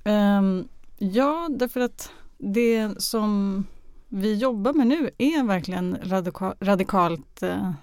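A woman's voice: medium pitch, a little mellow, clear, resonant tone, no room sound. A woman answering interview questions hesitantly, searching for words. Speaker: guest, radio drama artistic director.

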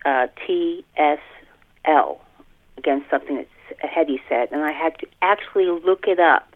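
A woman's voice: low voice, normal speed. A middle-aged woman talks over a thin, tinny telephone line. She is an author telling casual anecdotes in an interview, with a self-deprecating manner. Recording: tinny narrow phone line.

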